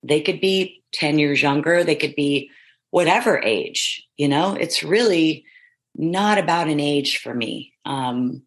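A middle-aged woman with a low, husky voice, chatting as an interview guest in a rambling, emphatic, self-affirming way. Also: takes it slowly. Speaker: reality show contestant guest. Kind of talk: casual interview chat.

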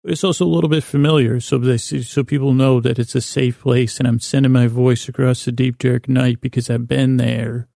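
A man with an American accent and a creaky, gravelly voice delivers a meandering bedtime monologue as a podcast host. His tone is dark and relaxed, with very little rise and fall in pitch. He stumbles, restarts and interrupts himself as he wanders along. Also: no room sound, clean studio sound. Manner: meandering, halting, with stammered false starts and self-interruptions. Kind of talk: rambling bedtime monologue to help listeners sleep.

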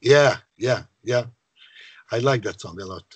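A low-voiced songwriter from Malta recounts the past in a casual interview. His tone is slightly husky, and he often stops to repeat words. The sound is dry and close. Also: measured pace, no echo. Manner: hesitant, repeats words, conversational. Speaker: songwriter guest.

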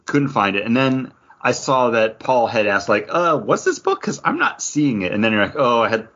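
A man's voice: medium pitch, sing-song, moderate pace, fairly bright tone. A man co-hosting a podcast, talking casually and conversationally. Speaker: podcast co-host. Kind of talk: casual chat. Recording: dull lo-fi sound.

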